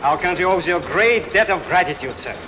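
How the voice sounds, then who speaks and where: Highish-voiced, formal royal envoy. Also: male voice, animated, brisk, background noise. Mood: grateful, ceremonious. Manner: stiffly courteous, ornate phrasing. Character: formal, deferential male foreign royal envoy. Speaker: supporting film actor. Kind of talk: 1940s film acting.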